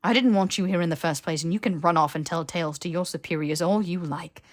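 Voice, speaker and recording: A melodramatic young nobleman wizard acted by a woman with a lowish voice and heavy emphasis. He is scornful and bitter, a proud aristocrat in a fantasy story reading. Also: fast, close to an everyday voice. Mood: furious. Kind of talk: character voice in fan fiction audiobook.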